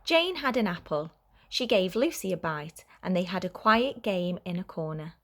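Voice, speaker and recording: A woman reading a children's story aloud as a teacher would, in a clear, expressive way for young listeners. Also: lowish voice, moderate pace.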